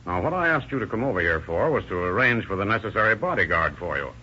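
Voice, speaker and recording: A shrewd, steady sheriff. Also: male voice, lowish voice, exaggerated voice. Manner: measured, authoritative. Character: shrewd, calm male county sheriff. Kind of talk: 1940s radio acting. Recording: dull old radio recording with faint hiss.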